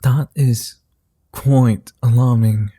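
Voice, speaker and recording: A courteous vampire gentleman, as a man plays him in an audio roleplay, speaks at an unhurried crawl with a deep, mellow warmth. His phrasing is formal and old-fashioned, broken by soft chuckles. The sound is close and dry, with no room around it. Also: steady tone, husky, dry recording. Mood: amused. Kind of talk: ASMR roleplay.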